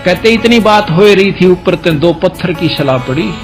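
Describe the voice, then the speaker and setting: A man's voice: high voice, animated, moderate pace, faint music behind. A man with a Haryanvi accent preaching through a folk story, telling it colloquially and voicing the dialogue as reported speech. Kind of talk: religious discourse told as a folk story.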